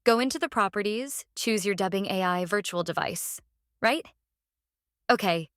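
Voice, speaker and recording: A woman narrating a casual software tutorial, explaining step by step in a halting way and repeating phrases. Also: medium pitch, dry recording, clean studio sound. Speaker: tutorial presenter.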